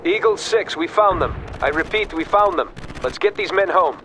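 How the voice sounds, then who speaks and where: An actor playing a businesslike allied officer making formal, precise radio reports in a video game. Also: male voice, high voice, lively intonation, normal speed, sharp tone, a little hiss or hum, low-quality recording. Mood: urgent, focused.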